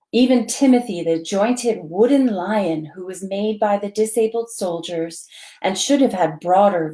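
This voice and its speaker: A woman reads a children's story aloud in an expressive, dramatic storytelling manner. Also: medium pitch, low-quality recording. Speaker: volunteer storytime reader, library board member.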